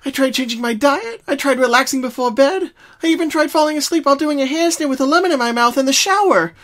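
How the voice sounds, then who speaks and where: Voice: high-pitched, fast, a bit bright, voice pushed up. A performer voicing a frightened, exhausted young female pony with a quivering, pleading voice in a cartoon fan reading. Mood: anguished. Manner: whiny, dramatic, pleading.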